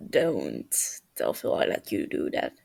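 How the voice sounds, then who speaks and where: A woman voicing a stern, big-brotherly male villain with a dry, calm manner in a story reading. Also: extremely low pitch, takes it slowly, vocal fry, pitched below the performer's own voice, heavily acted. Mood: approving. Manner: dry, calm, hesitant when sincere.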